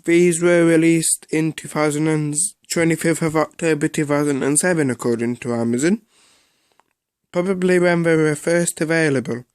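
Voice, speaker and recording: A man giving a casual, unscripted product review in a halting way, often repeating himself. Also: a little high, steady tone, a little mellow, clean tone, no room sound.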